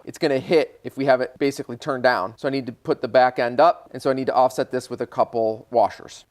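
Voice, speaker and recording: A man's voice: medium pitch, dry recording. Casual American man explaining hands-on work. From Michigan, United States. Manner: informal, thinking aloud while working. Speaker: DIY car repair video host. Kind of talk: casual hands-on how-to narration.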